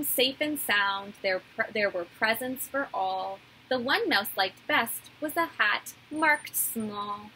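A woman in her thirties reading a children's story aloud like a teacher, in a deliberate, animated storytelling way. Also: a little high, a little hiss or hum, rough recording. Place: small indoor room.

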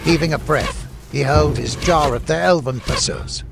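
Male audiobook narrator, dramatic scripted delivery, creaky medium-pitched voice, background music. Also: normal speed.

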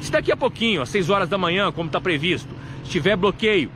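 A man's voice: sits high, animated, fast, sharp tone. Earnest man reporting outdoors. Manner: earnest, repetitive, tag questions "né" and "tá". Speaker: local news reporter. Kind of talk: live roadside news update. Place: outdoors at night by a highway. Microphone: phone camera at arm's length. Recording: faint traffic noise outdoors.

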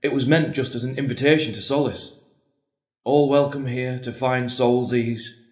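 A man's voice: medium pitch, steady tone, moderate pace, darkish tone, close to an everyday voice. Man acting an earnest priest, echoey. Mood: solemn, patient. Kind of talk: audio drama acting. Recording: echoey room.

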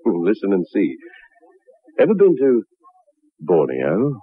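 A man's voice: fairly low pitch, huge pitch swings, slow pace, heavily acted. A man playing a chatty raconteur who tells a friend a wild tale in a 1960s radio drama, with clubby, old-fashioned phrasing. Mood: sly, teasing. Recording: muffled narrowband old radio recording.

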